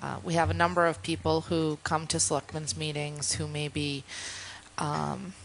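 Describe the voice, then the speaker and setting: A woman on a town board introduces the next agenda item and speaks briefly about committee vacancies, in a brisk, procedural way. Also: deep voice, flat delivery, creaky, a little hiss or hum, poor audio. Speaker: board member. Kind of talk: running a public meeting. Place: town board meeting room.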